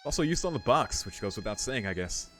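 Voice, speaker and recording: A man's voice: medium pitch, sing-song, a little fast, fairly bright tone, soft background music. A man giving casual, laid-back commentary as he plays through a game, murmuring short reactions. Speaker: video game streamer.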